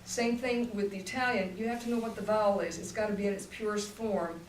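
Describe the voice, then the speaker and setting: A woman's voice: mid-range voice, fairly even intonation, a little fast. An older woman, a voice teacher, explaining technique in a demonstrative coaching way, heard from a distance in a room. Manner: demonstrative, coaching, talks in bursts. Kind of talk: voice masterclass talk. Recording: roomy, distant, faint hum.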